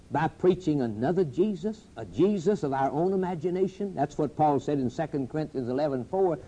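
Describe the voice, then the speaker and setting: A man's voice: fairly high pitch, mellow, breathy edge. A man preaching a fervent sermon on a TV program, driving his points with repeated rhetorical questions. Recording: dull lo-fi broadcast audio.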